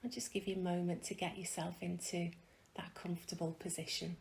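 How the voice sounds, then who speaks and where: A woman leading a guided meditation in a soft, hushed, breathy voice, gently and soothingly, with long pauses. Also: fairly low pitch, level tone, a little hiss or hum.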